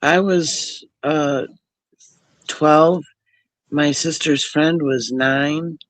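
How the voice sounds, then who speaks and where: Man, very slow talker, hesitant, rambling, fillers, dry sound. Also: on the high side, steady tone, fairly bright tone, dry recording, low-quality recording. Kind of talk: casual chat.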